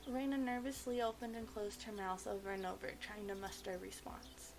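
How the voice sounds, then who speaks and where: A woman reading a visual novel aloud as expressive narration. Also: mid-range voice, fairly quick, background noise. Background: game music.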